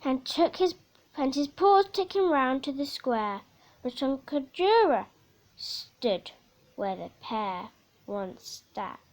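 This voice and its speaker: A woman reading a children's picture book aloud in a theatrical, measured way, with dramatic emphasis. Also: sits high, big pitch swings, a little mellow. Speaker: story reader.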